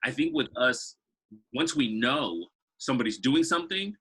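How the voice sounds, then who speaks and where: A man's voice: medium pitch, expressive, normal speed, bright, forceful tone, husky. A man co-hosting a podcast over a video call, talking in an eager, rambling way. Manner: rambling, eager, acts out quotes. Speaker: podcast co-host. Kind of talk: casual interview chat.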